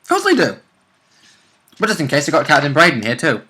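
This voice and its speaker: A man's voice: on the high side, huge pitch swings, fairly quick, bright, forceful tone. A man chatting casually as a tabletop player, chipping in with agreeable, joking comments. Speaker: tabletop role-play player. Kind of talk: casual chat, commenting on a game.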